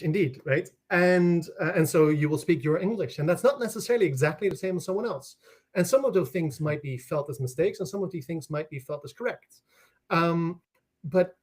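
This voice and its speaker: A man from the Netherlands whose first language is Dutch, with a voice a little higher than most men's. He speaks as a guest scholar explaining ideas in conversation. He often restarts a phrase and checks in with a "right?". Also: darkish tone, breathy edge.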